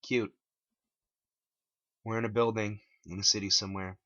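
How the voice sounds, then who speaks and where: A man's voice: fairly low pitch, flat delivery, quick pace, bright, forceful tone, dry recording, muffled recording. A man giving a dramatic scripted narration as the author of a first-person story. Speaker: author reading own story.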